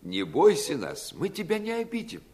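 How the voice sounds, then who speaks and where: Pirate captain: honeyed Eastern lord, theatrical, wide melodic swings, fairy-tale radio play. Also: male voice, on the high side, huge pitch swings, normal speed, a little mellow. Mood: flattering, coaxing. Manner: florid, honeyed, courtly turns of phrase.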